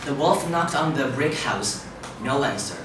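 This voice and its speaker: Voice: mid-range voice, lively intonation, measured pace. A performer reading scripted storybook narration in a reader's theater performance. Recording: very echoey, distant, noisy room.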